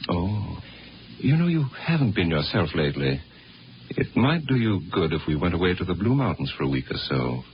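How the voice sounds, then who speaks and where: A jealous Martian husband in a vintage radio play. His voice is very low and swings widely as he probes and snaps, bad-tempered and controlling. The old recording sounds dull. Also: sing-song, normal speed, a little hiss or hum. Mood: irritated. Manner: probing, curt, bad-tempered. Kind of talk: 1950s radio drama acting.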